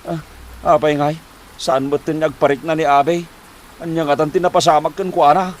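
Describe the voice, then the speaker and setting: A man's voice: a little high, fairly even intonation, normal speed, lightly acted. A man acting a worried, debt-ridden husband in an Ilocano comedy drama, with an Ilocano accent and a flustered, stammering, sighing manner. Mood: anxious, pleading. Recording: noticeable background noise.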